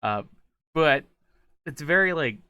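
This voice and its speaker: Bright, animated American man. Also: on the high side, slow, clean tone, no echo, studio quality. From US Midwest. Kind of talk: casual chat.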